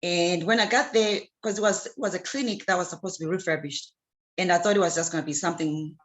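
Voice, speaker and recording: Woman from Zimbabwe, interview guest, low voice, earnest, halting delivery. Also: a bit bright. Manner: earnest, hesitant, many fillers and restarts. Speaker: guest, clean water advocate. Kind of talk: casual interview answers.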